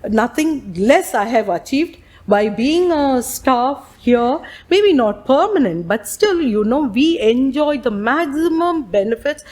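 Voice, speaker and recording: A woman, giving a loud, halting talk about her working life to students. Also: high voice, expressive. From India. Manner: loud, many restarts and fillers. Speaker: guest speaker.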